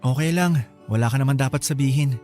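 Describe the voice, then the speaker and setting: Guy acting shy nice young office dude, radio drama, middle pitch, kinda hesitant. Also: brisk, darkish tone, soft background music, close to an everyday voice. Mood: gentle. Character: bashful, gentle young male office worker confessing a crush.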